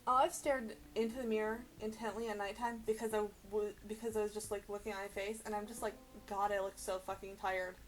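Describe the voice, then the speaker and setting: A young woman co-hosting a casual chat, reacting to stories in an animated, exclamatory, informal way. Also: mid-range voice, a little fast, few pauses, a little hiss or hum, rough recording. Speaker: podcast co-host.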